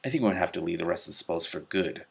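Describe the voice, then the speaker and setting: A man voicing a practical male magician in a storybook, measured and matter-of-fact. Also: low-pitched, gravelly, husky, heavily acted.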